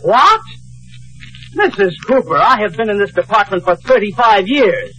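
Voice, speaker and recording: An American actor playing a fussy, proud middle-aged records clerk in an old radio sitcom, speaking in a prim, officious way. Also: male voice, high-pitched, lively intonation, moderate pace, sharp tone, poor audio. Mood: indignant. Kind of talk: 1940s-50s radio sitcom acting. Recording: old radio recording, faint studio audience.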